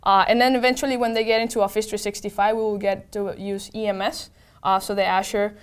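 A woman's voice: medium pitch, level tone, fast, smooth. A woman with a Venezuelan accent, a native Spanish speaker, answers interview questions in an enthusiastic, informal way. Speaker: interview guest, tech program manager.

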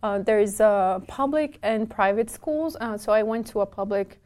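A woman's voice: medium pitch, normal speed, a little mellow. A woman, a guest in a casual interview who often restarts her sentences and laughs easily. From southern Brazil. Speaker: interview guest, documentation developer.

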